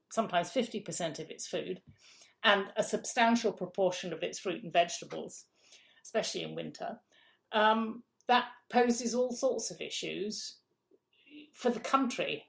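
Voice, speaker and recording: A woman's voice: medium pitch, creaky. A woman being interviewed as the executive director of a food council, explaining in a frank, emphatic way. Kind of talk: interview answers.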